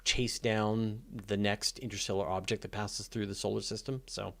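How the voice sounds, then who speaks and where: A man's voice: on the low side, fairly even intonation, normal speed, creaky. A man talking casually and off the cuff as the host of a live question session, thinking aloud in a conversational, keen way. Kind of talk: casual unscripted Q&A talk about space and astronomy.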